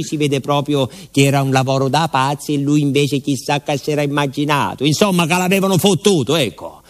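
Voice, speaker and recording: Theatrical southern Italian male storyteller. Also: on the high side. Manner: colloquial, vivid, theatrical storytelling. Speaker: stage storyteller and actor. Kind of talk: dramatic narrative monologue, radio theatre.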